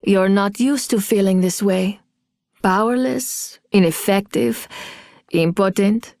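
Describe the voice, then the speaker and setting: A woman's voice: medium pitch, slow pace, darkish tone, dry recording, clean studio sound. An actress playing a cool, vengeful woman thief with a cutting manner.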